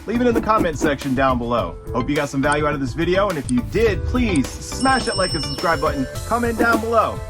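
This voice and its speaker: A man's voice: on the high side, big pitch swings, fast talker, few pauses, music in the background. A man narrating a casual unboxing and review as the video's host, explaining things in a plain-spoken, instructional way.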